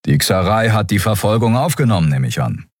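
Guy doing a commanding space admiral, short questions, bit husky, audiobook. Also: fairly low pitch, big pitch swings, a little fast, no room sound, clean studio sound, pitched above the performer's own voice. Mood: stern, questioning. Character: male admiral, commanding, terse.

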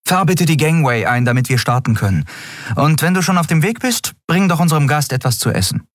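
A man's voice: fairly high pitch, expressive, fairly quick, no room sound, studio quality, pitched above the performer's own voice, close to an everyday voice. A man voicing a nervous young female ship captain in an audiobook who tries to sound casual. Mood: commanding.

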